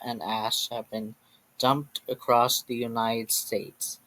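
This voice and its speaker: A man playing a grave US President, reading a scripted emergency address in a formal, deliberate and slightly halting way. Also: mid-range voice, pushed and bright, low-quality recording.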